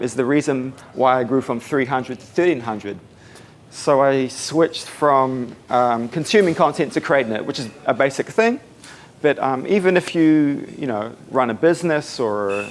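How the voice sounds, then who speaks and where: Soft-spoken New Zealand man in his twenties. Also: medium pitch, a little hiss or hum. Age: 20s. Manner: soft-spoken, relaxed, many fillers. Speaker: speaker at a meetup. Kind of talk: casual talk to a live audience. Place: coworking space event room.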